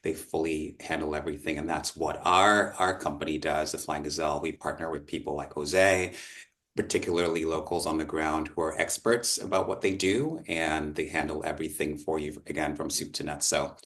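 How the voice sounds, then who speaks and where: Very deep-voiced upbeat male host. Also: steady tone, moderate pace, fairly bright tone. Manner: upbeat, fluent, enthusiastic. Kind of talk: interview hosting.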